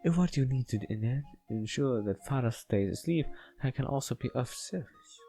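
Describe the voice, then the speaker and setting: A man's voice: mid-range voice, animated, moderate pace, dark tone, faint music behind, lightly acted. A man voicing a scheming, playfully formal schoolgirl in a visual novel read-aloud, with theatrical phrasing.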